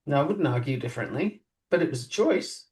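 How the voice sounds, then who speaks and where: A woman co-hosting a podcast in casual chat, musing and lively, her pitch jumping up on emphatic questions. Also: a little fast. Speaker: podcast co-host. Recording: voice a bit distant from mic.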